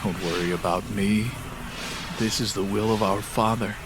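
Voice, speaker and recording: Man as a low-voiced solemn fantasy leader. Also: steady tone, loud music behind the voice, close to an everyday voice. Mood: resolute. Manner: measured, grave. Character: solemn, resolute male fantasy leader, a lord warden. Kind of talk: fantasy audio drama acting.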